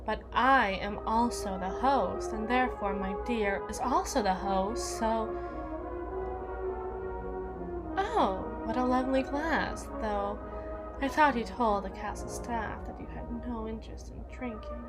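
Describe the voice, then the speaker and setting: A woman playing a jealous, menacing evil queen in an ASMR roleplay, regal and possessive, with theatrical, deliberate formal diction. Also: on the high side, over loud music, close to an everyday voice. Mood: icy politeness.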